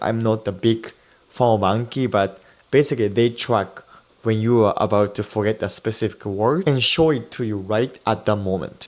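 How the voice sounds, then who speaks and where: A Japanese man, a native speaker with a Japanese accent, explains study tips in an earnest step-by-step video lesson. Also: fairly low pitch. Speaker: Japanese teacher.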